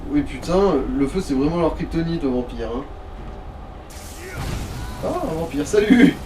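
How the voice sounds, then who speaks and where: A man's voice: fairly high pitch, lively intonation, moderate pace. A man chatting casually over a video game as a let's player, with crude, slangy joking. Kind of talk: casual gaming chat. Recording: distant mic with clear noise.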